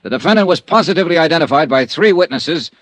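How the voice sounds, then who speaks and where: An actor playing a composed American insurance investigator on the witness stand in a 1950s radio drama, measured, precise and matter-of-fact. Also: male voice, on the high side, expressive, close to an everyday voice. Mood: composed, factual. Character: composed male freelance insurance investigator testifying in court.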